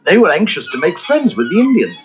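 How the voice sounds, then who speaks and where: Children's story narrator: male, lightly high voice, very animated and dramatic, muffled cassette with music under him. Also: fast talker.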